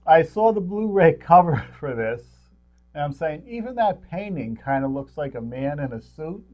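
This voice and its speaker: A man's voice: fairly high pitch, big pitch swings, moderate pace, lo-fi sound. A man co-hosting a movie review show, chatting casually in a rambling, jokey way. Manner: rambling, jokey, chuckles at own remarks. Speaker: co-host of a local film review show. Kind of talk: casual unscripted chat about movies.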